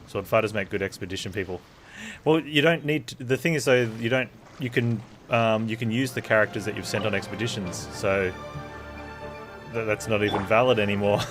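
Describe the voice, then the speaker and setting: Male streamer, deepish voice, casual rambling gameplay commentary, music clearly behind. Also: a little fast. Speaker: game streamer. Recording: game music and effects behind.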